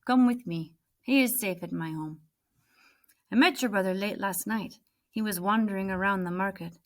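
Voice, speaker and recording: A woman voicing a kindly, calm, helpful market man from a storybook. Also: medium pitch, normal speed, lightly acted. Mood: welcoming.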